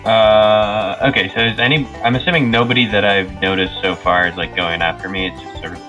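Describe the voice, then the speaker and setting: The low-voiced guy at game night who works out his move aloud, haltingly, while guitar music plays loudly. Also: fairly even intonation, muffled recording. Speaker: tabletop game player. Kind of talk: casual game chat.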